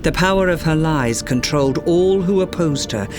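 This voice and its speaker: A man reading a children's fairytale aloud as a storyteller, in expressive scripted narration. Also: on the high side, normal speed. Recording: music behind the voice. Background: music.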